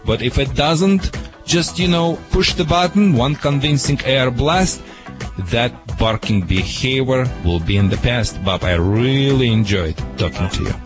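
A man's voice: mid-range voice, animated, measured pace, music in the background. A man giving dog training advice to a radio caller, in broken, emphatic English.

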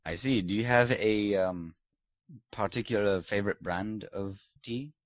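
A man's voice: fairly low pitch, a little slow, dry recording, close to an everyday voice. A man playing a pompous British lord who hosts a comedic podcast interview, in a put-on British accent with a mock-posh, teasing manner. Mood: haughty, mock-posh. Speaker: host.

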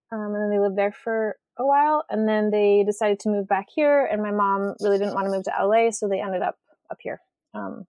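A woman's voice: mid-range voice, a little mellow, no room sound. A woman from Western Washington, a writer and artist, talking casually as an interview guest, with lots of ums and rambling asides. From Western Washington, USA.

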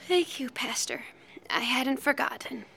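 An actress playing a pious, coolly murderous teenage girl in a horror audio drama, with a breathy, hushed delivery. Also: high voice, lively intonation, measured pace, full character voice. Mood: sleepy, sweet. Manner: breathy, hushed, often whispering to herself.

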